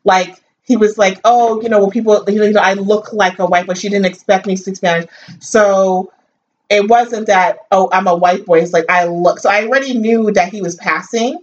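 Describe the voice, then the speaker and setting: A woman's voice: medium pitch, moderate pace. A woman with a New York accent, talking bluntly and with swearing in a casual chat about a reality show. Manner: blunt, profane, animated. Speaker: co-host. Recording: distant, dull, faint hiss.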